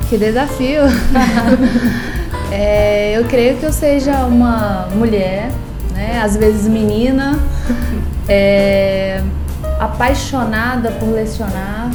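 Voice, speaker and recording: A woman's voice: a little high, roomy sound. A woman from Brazil, a lawyer and law professor, telling her story earnestly in a podcast interview, with frequent hesitations. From Brazil, born in Paraíba, raised in Brasília.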